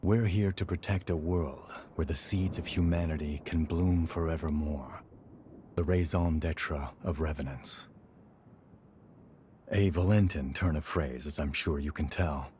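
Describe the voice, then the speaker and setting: An actor playing a seasoned male warrior and loyal mentor in a video game, speaking in a measured, earnest, reflective way. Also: very deep voice, a little mellow, slight background noise. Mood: reflective, wistful. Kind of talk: video game dramatic dialogue.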